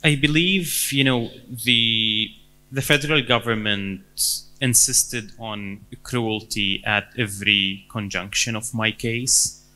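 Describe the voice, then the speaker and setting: A man in his thirties with a Syrian accent, answering interview questions in a halting way, with many fillers and restarts. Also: lowish voice, sharp tone. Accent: Syrian Arabic. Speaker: interview guest.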